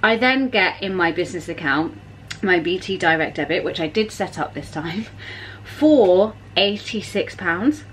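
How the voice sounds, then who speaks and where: A woman's voice: on the low side, lively intonation, a little slow, slight background noise. A woman, chatting to camera in her kitchen and telling an exasperated story. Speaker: vlogger. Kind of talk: casual chat to camera. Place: home kitchen, indoors. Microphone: camera at arm's length.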